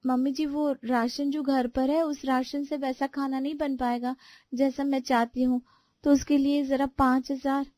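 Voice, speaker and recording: A woman's voice: sits high, little pitch movement, a little slow, a little mellow, no room sound. A woman voicing an outspoken younger daughter-in-law in a family story, complaining and pleading her case. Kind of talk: animated Hindi family story dialogue.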